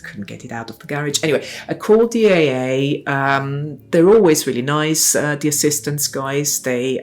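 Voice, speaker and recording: A woman in her forties from Italy, speaking English with an Italian accent in a reflective, halting personal monologue with many pauses and restarts. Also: low voice. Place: lives in Brighton.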